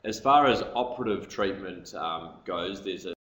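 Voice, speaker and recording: A male orthopaedic registrar giving a recorded medical lecture over slides, pausing often with ums and uhs and trailing off at the ends of phrases. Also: deep voice, expressive, a bit bright. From Australia. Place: hospital lecture room. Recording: echoey room, faint background hiss.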